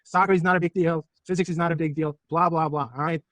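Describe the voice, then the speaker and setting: A man's voice: a little high, steady tone, fast talker, a bit bright, dry recording. A middle-aged man from Turkey lecturing a physics class, joking and throwing rhetorical questions at his students.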